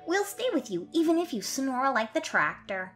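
A woman's voice: high-pitched, big pitch swings, normal speed, fairly bright tone, soft background music. A woman playing an awkward little alien techie. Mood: earnest. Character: small prickly female alien technician, awkward but caring. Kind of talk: fan comic dub.

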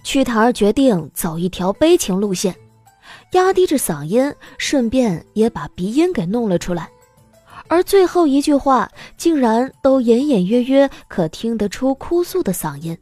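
A woman giving expressive scripted narration of a romance novel as an audiobook narrator. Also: fairly high pitch, moderate pace, dry recording, soft background music.

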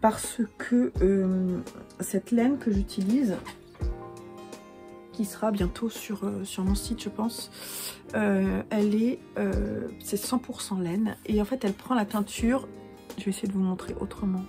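A woman's voice: mid-range voice, steady tone, unhurried, gravelly, mellow, soft background music. A woman in her thirties with a cold, chatting casually and self-mockingly to camera at home about her knitting, with lots of hesitations. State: has a cold. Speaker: knitting vlogger and yarn dyer. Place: small room at home, indoors.

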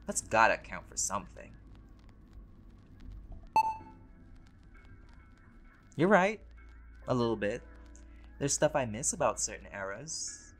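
A man's voice: mid-range voice, sing-song, normal speed, fairly bright tone, soft background music. A man playing a laid-back, vain, centuries-old vampire in a conversational roleplay audio drama, with casual, sweary, bantering delivery. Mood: earnest, amused.

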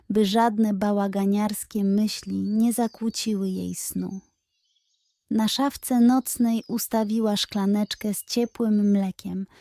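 Woman narrator, bedtime story for children, gentle, expressive, unhurried delivery, soft dark tone, dry sound. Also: medium pitch, no echo.

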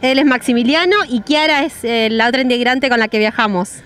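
High-voiced young Buenos Aires woman. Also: steady tone, a little fast, fairly bright tone. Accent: Buenos Aires Spanish. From Monte Grande, Buenos Aires. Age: young adult. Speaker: interviewee, traveler. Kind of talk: street interview. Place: outdoors on a street by a van. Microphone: handheld reporter mic.

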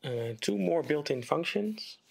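Man, mid pitch, gravelly, walking through code calmly for a tutorial; faint hiss, typing and clicks underneath. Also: unhurried. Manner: relaxed, step-by-step explaining while typing. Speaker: game development tutor. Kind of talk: screen-recorded coding tutorial. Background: keyboard typing and mouse clicks.

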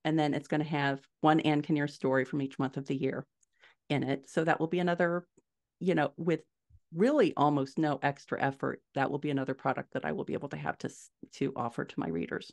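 A middle-aged woman with a low voice speaks as a guest in a conversational interview. She explains her points step by step and leans on the key words. She is recorded over a video call from a room at home. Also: fairly even intonation, a little fast, creaky, darkish tone. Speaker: podcast guest, indie fiction author. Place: small furnished room at home, indoors.

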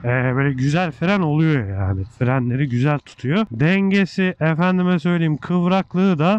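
A man's voice: fairly high pitch, a little mellow, highs cut off. A man talking casually while riding a motorcycle through city traffic, thinking aloud as he reviews the bike in an informal, chummy way. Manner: chatty and informal, calls the viewer "abi", muses aloud.

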